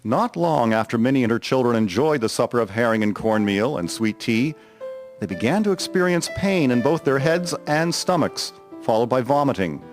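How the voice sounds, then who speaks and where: A man giving a solemn, measured scripted narration of a historical drama. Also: medium pitch, expressive, soft background music.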